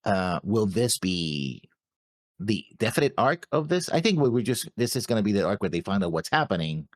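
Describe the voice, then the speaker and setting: A man co-hosting a reaction show, chatting casually about a series in a halting way, repeating his phrases. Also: lowish voice, big pitch swings, no room sound, studio quality. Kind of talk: casual discussion of an animated series.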